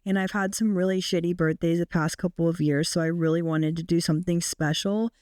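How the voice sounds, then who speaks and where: Young woman, 20s, raspy and hoarse from illness, chatty podcast host, dry close sound. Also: steady tone, brisk, a little mellow, no echo. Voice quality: raspy, deep. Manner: chatty, many fillers. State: sick, hoarse. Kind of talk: casual solo podcast chat.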